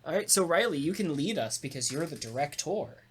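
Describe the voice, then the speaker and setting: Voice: mid-range voice, expressive, a little fast, husky. An enthusiastic co-host and voice actor chatting casually in a round-table talk, swearing freely.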